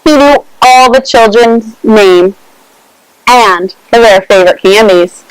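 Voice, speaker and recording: A woman reading a storybook aloud to children in an expressive, measured way, adding her own small asides. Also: on the high side, clear, resonant tone, poor audio.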